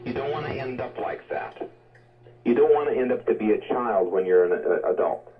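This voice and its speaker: A man's voice: mid-range voice, lively intonation, normal speed, crowd noise. A man giving an unscripted, rambling and emphatic health talk on the radio, exhorting listeners, heard over shortwave. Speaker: guest promoting health supplements. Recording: phone-like shortwave radio, distant from mic.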